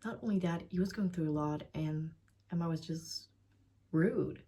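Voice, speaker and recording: A woman's voice: on the low side, moderate pace, warm tone, a little hiss or hum. A young Dutch woman giving a casual, blunt book review in English with a Dutch accent. She swears freely and often drops into a whisper. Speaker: book vlogger.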